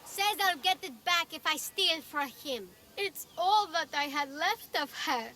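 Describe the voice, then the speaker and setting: Scrappy high-pitched street child. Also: female voice, lively intonation, a little slow, pushed and bright, heavily acted. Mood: defiant, blunt. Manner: blunt, pleading, streetwise. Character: scrappy, cheeky young street urchin child. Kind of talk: video game character acting.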